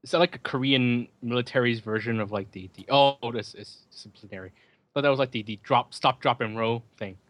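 A man in a dry, close recording talks casually over a movie. His delivery is joking and sardonic, halting now and then as he repeats words. His pitch sits in an ordinary male range. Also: dry recording. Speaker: film commentary podcast host. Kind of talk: casual chat, running movie commentary.

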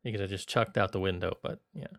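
Smooth-voiced man, lower pitch, stumbling. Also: fairly even intonation, quick pace, fairly bright tone, no echo, clean studio sound. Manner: stumbling, repeats words. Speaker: film podcast co-host. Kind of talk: casual film review chat.

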